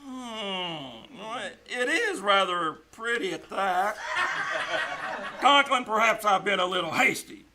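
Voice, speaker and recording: A man's voice: high-pitched, animated, takes it slowly, full character voice. A man playing a pompous, easily outraged boss with an emphatic delivery, in a live old-time radio comedy. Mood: mollified. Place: small-town theater stage, live performance. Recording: noisy live theater stage recording.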